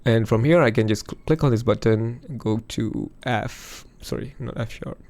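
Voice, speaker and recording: A man losing his voice, chatting casually through a music software demo over a screen recording. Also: mid-range voice, moderate pace. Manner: chatty, thinks aloud with fillers. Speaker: music production youtuber.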